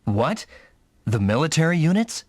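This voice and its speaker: A man's voice: on the high side, very animated, moderate pace, slight background noise. An actor playing an earnest, dutiful young male rookie mech pilot in an anime-style video game. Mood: curious, surprised.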